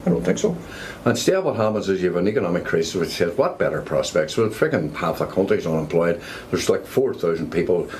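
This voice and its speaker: A man's voice: low voice, expressive, fairly quick, darkish tone, a little hiss or hum, rough recording. A man with a Northern Irish accent ranting in a blunt, exasperated way.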